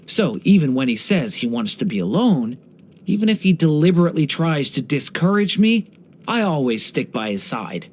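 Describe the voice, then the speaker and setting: A man voicing a young dragon boy in human form for a video game, speaking gently, politely and earnestly, like someone telling a story. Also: on the high side, very animated, normal speed. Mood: earnest, wistful. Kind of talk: English video game character voice lines.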